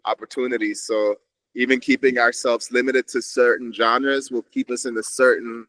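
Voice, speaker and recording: A man's voice: mid-range voice, flat delivery, moderate pace, a bit bright, no echo, poor audio. A man from Switzerland, a podcast guest reflecting in a meandering, casual way with frequent restarts. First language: Swiss German. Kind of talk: casual conversation.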